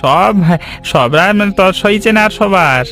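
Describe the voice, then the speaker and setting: A man's voice: high-pitched, lively intonation, a little slow, sharp tone, soft background music, heavily acted. A man voicing a humble, eager village friend in a cartoon story, with an effusive, folksy manner. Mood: urgent, excited. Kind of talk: Bengali animated story voice acting.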